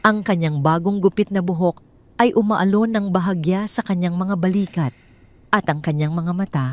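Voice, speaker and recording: Low-pitched Filipino woman narrating drama. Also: normal speed, darkish tone. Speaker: story narrator. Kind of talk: scripted dramatic audio story narration.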